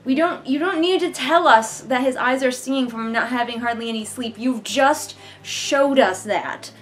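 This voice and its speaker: Young woman, slightly high voice, sarcastic, exasperated, casual vlog commentary, living room. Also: moderate pace, faint music behind. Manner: sarcastic, exasperated, mildly sweary. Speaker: vlogger reviewing a book. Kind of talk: casual read-along commentary. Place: living room couch, indoors.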